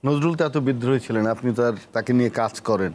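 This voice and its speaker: A man's voice: medium pitch, fast, darkish tone. A man hosting a literary talk show, prompting his guest and quoting verse. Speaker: talk show host, poet. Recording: faint background noise.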